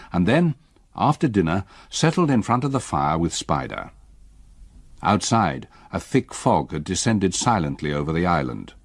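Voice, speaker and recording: An actor playing an uneasy, educated solicitor in a gothic radio drama, with measured, articulate, old-fashioned speech. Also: male voice, low-pitched, no room sound, studio quality, exaggerated voice. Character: uneasy, educated male solicitor recounting a haunting.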